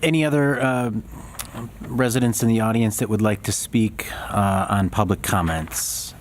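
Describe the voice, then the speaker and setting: A man chairing a public meeting, speaking haltingly in procedural phrases. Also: on the low side, steady tone. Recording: noisy room, somewhat distant.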